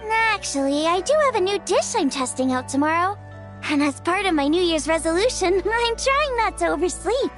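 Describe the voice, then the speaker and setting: Brief: an upbeat young chef; casual and friendly. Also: female voice, very high voice, lively intonation, moderate pace, long pauses, soft background music. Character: cheerful young female cook. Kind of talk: video game voice acting.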